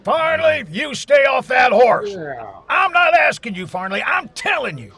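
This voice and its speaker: Pompous old western judge, very high voice, slow oratorical delivery, radio drama. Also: male voice, big pitch swings, sharp tone, slightly rough, full character voice. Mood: blustering, indignant.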